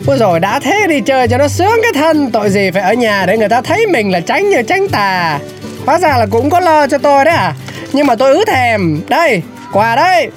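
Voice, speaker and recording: A woman's voice: high-pitched, sing-song, brisk, faint music behind. A woman playing a sulky, teasing middle-aged husband and father.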